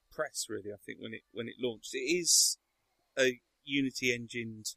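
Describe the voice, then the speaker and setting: A man's voice: medium pitch, gravelly, a bit bright, no room sound, low-quality recording. A man hosting a casual podcast chat, talking hesitantly with many ums and restarts. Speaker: podcast host.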